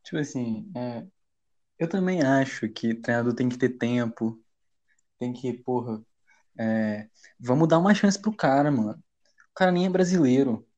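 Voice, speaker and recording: A man from Brazil hosts a football chat show in loose street slang, cursing often. His pitch climbs sharply and he grows loud and breathless when he is irritated. It is plain casual talk recorded close to the mic. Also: expressive, measured pace, highs cut off. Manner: slangy, swearing, gets loud and worked up. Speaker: podcast host.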